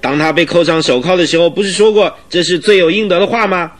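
High-pitched, agitated police chief. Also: male voice, big pitch swings, brisk, sharp tone, slightly rough, faint music behind, exaggerated voice. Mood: indignant. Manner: emphatic, exclamatory, argumentative. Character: agitated male police detective chief, indignant and stubborn. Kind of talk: Mandarin radio drama acting.

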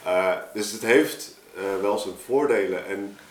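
A man talks informally as a podcast guest. His voice is fairly low, picked up from a little distance in a clearly echoing room. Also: a little slow, slight background noise. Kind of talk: casual podcast discussion. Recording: echoey room, off the mic.

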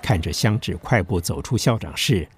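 A man's voice: low voice, expressive, moderate pace, a little hiss or hum. A man narrating a scripted historical drama as its storyteller. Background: background music.

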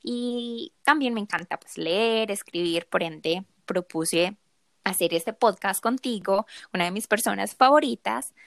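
A woman's voice: medium pitch, measured pace, gravelly, a bit bright, no echo. A woman in her thirties with a Colombian accent from Medellín, talking conversationally as co-host of a podcast. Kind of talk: casual conversational podcast intro.

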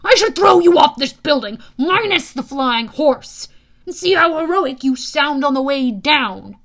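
Woman acting menacing immortal male god, audiobook villain, pompous, sneering, bitter, forceful bright voice. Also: high voice, lively intonation, voice pushed up, exaggerated voice. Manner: sneering, slow deliberate pompous delivery. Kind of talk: audiobook character voice.

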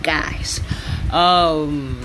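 A woman's voice: low voice, very slow, noisy background. A Nigerian woman in her early twenties hosting a podcast, chatting casually and rambling, often breaking into laughs. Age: 20s. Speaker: podcast host. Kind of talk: casual solo podcast chat.

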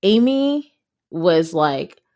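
A woman hosts a conversational podcast in a voice pitched a little lower than most. She tells stories casually, leaning on her points with emphasis and talking directly to the listener. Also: sing-song, extremely slow, a little mellow. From Ghana. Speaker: podcast host.

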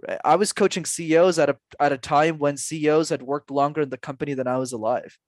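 A man's voice: fairly high pitch, fairly even intonation, fast, no echo, studio quality. A man in his twenties, a public speaking coach answering interview questions in an earnest, emphatic way, full of rhetorical questions. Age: 20s.

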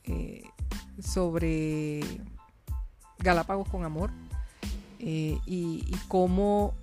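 A woman interviewing a guest, asking questions softly and hesitantly, often pausing to rephrase herself. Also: lowish voice, steady tone, faint music behind. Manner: hesitant, many fillers and restarts, soft-spoken.

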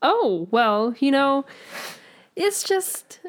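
A young woman co-hosting a podcast, telling a candid story in a giggly, animated way. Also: high-pitched, very slow. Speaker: podcast co-host. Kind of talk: casual podcast chat.

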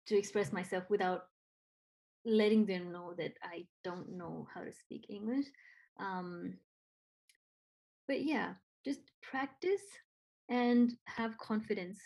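A woman's voice: medium pitch, takes it slowly, vocal fry, pauses often, dark tone, slightly rough, poor audio. A woman from Nepal who speaks English with a Nepali accent, talking earnestly and encouragingly with frequent ums and repeated phrases. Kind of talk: casual conversation.

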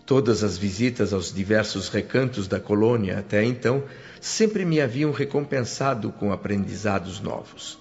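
Music plays under a slightly muffled recording of an actor playing an earnest Brazilian man in a radio serial. He tells his own story with measured, formal reverence, as a grateful newcomer full of wonder. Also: fairly low pitch, a little mellow, close to an everyday voice. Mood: reverent wonder. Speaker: narrator and protagonist. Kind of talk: dramatized radio serial narration.

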